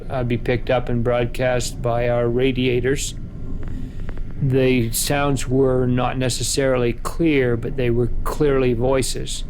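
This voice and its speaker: A man's voice: mid-range voice, little pitch movement, takes it slowly, a little mellow, slight background noise. A man recounting a personal experience in an interview, with roundabout, hesitant phrasing. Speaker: local resident interviewee. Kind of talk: spoken reminiscence.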